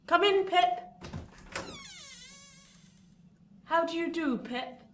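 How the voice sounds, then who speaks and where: A woman's voice: very high-pitched, lively intonation, moderate pace, a bit bright. A woman acting a bitter, obsessive old rich spinster in a radio play, commanding, intense and theatrical. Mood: imperious. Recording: echoey room, distant mic, faint noise.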